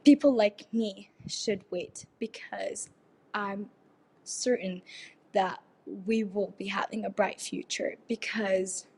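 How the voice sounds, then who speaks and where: A teenage girl speaks in a breathy, earnest voicemail. She talks haltingly, with soft pauses between thoughts, and her pitch sits in the middle of the range. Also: fairly even intonation, rough recording. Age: teens. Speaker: caller leaving a voicemail. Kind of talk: personal testimony.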